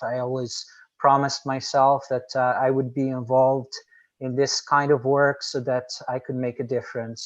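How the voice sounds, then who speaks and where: Male panelist, first language Portuguese, steady monotone, measured and formal, muffled call audio. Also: medium pitch. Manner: earnest, measured, formal with frequent "um". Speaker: executive director of an organization. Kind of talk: panel discussion by video call.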